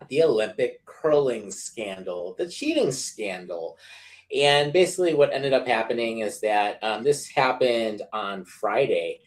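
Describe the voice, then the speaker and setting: A man co-hosting a casual sports podcast, rambling with lots of fillers and laughing often. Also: mid-range voice. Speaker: podcast co-host.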